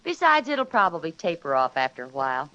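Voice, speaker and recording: A woman playing a sensible, good-humored suburban wife who soothes and gently chides in a mid-century radio sitcom, on a noisy old recording. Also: deep voice, sing-song, fairly quick, dull audio. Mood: gently chiding.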